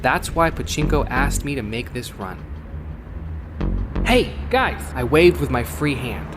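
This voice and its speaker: A man's voice: medium pitch, animated, measured pace, over music, close to an everyday voice. A man playing a jaded, wry cyberpunk courier, narrating a gritty first-person audio drama in a world-weary, sardonic manner. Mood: wry, wary.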